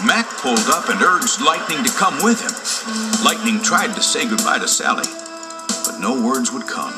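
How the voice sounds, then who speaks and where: A man narrating a children's storybook from a script in a dramatic, expressive storytelling manner. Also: medium pitch, moderate pace, loud music behind the voice. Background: loud musical score.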